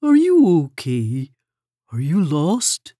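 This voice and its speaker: A kindly, motherly mole who fusses over visitors, as a woman reads her. The voice sits low and mellow, drawn out slowly, soft and comforting. Close and dry, with no room around it. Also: wildly swooping pitch, dry recording, exaggerated voice. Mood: soothing. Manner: soothing, with a French greeting. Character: kindly motherly female mole, fussing and warm. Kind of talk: storybook read-aloud.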